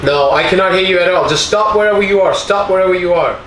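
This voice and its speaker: A man's voice: high voice, quick pace, a bit bright. A man talking casually as a test partner, using short, repeated radio-check phrases. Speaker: test partner in a gadget review. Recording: echoey, clear background noise.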